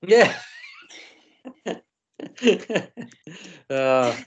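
A male teacher running an online lesson, his voice at an ordinary middle pitch. He talks in a halting way, full of ums and false starts, and the call audio sounds slightly dull. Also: very animated. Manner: hesitant, frequent ums and restarts. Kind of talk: online class discussion. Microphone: video call.

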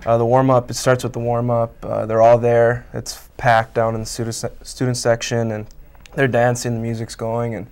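Young man with a flat, smooth voice. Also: mid-range voice, moderate pace. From Canada. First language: Italian. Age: 20s. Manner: relaxed, many fillers like um and I mean. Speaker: college hockey player guest. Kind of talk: casual interview answers. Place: small local TV news studio, indoors. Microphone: lapel mic.

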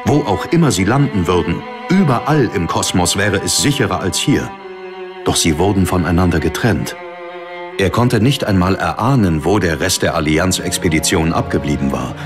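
A man's voice: low-pitched, expressive, moderate pace, over music. A man reading scripted dramatic narration for a fantasy audiobook.

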